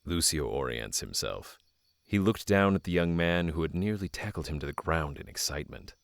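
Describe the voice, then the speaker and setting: A man's voice: extremely low pitch, expressive, moderate pace, gravelly, dry recording, clean studio sound, exaggerated voice. A man narrating a fantasy audio drama as a solemn storyteller, reading scripted prose. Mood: measured.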